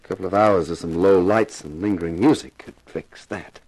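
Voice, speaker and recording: An actor playing a wry, flirtatious American sleuth with a dry wisecracking manner, narrating and talking in a 1950s radio detective drama. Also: male voice, sits low, fairly quick, a little mellow, no echo, poor audio.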